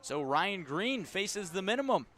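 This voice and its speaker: A man calling live baseball play-by-play as a radio announcer, measured between pitches and lifting with the action. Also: very animated, a bit bright. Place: outdoor ballpark broadcast.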